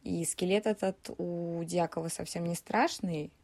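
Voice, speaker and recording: Female podcast contributor, deep for a woman, dark soft-edged tone, explanatory overview. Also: fairly even intonation, measured pace, gravelly. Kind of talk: explanatory film-history overview.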